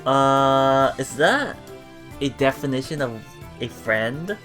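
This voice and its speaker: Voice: medium pitch, expressive, slow pace, fairly bright tone, soft background music. A let's player reading visual novel text aloud and musing casually on it as they go. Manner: musing, often thinking aloud.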